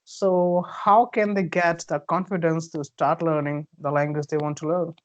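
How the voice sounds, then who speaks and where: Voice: mid-range voice, flat delivery, moderate pace, highs cut off. An interview host who asks polite, formally worded questions and answers with short affirmations.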